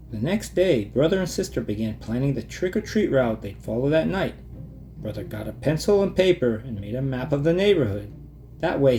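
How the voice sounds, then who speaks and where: Male storybook narrator, mellow timbre, highly animated intonation, expressive, light background music. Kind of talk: children's read-aloud, scripted narration.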